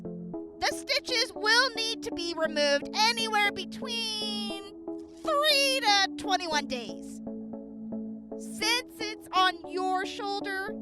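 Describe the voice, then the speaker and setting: A woman's voice: very high-pitched, exaggerated voice. A woman playing a perky, sassy young girl medic in a post-apocalyptic radio drama, with a sing-song delivery. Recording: music playing behind the voice.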